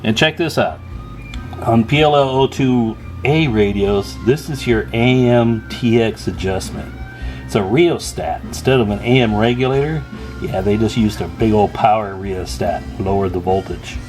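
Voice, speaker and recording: A man's voice: fairly low pitch, normal speed, darkish tone, faint music behind. A man hosting a hobby video, talking through a hands-on demonstration in an informal, chatty, slangy way. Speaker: host of a CB radio repair video.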